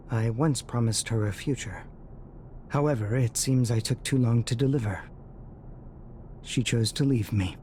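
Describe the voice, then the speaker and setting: Game role male actor: sorrowful, wistful man, unhurried pace, medium register, sighing regret. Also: mid-range voice, a little mellow, slight background noise, close to an everyday voice. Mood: regretful. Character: melancholy, regretful man mourning a lost companion. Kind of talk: video game cutscene acting.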